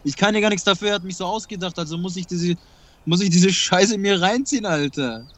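A man's voice: sits high, fairly quick, a bit bright. A man whose first language is Kurdish, talking emphatically and repeating himself in a casual spiritual conversation.

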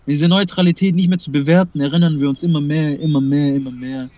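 A man's voice: on the high side, fairly even intonation, fast, dark tone, dull audio. Emphatic man, Kurdish native speaker. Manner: emphatic, repeats words, swears casually. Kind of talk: casual spiritual conversation.

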